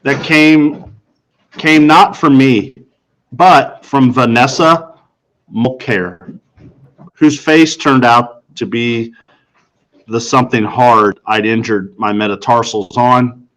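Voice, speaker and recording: A man's voice: mid-range voice, slow, long pauses, fairly bright tone, rough recording. Man reading a first-person story. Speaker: audiobook reader.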